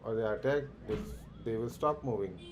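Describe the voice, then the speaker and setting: A man streaming a game, reading the quest text aloud haltingly and muttering "okay" between lines. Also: fairly low pitch, dull audio. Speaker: livestream gamer. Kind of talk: casual let's-play commentary. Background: faint game music.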